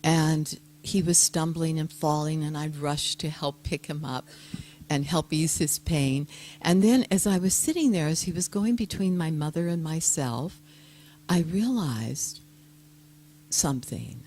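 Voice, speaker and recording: An older woman, a guest speaker giving a soft-spoken, gentle and reflective personal talk to an audience. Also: sits low, unhurried, a little hiss or hum.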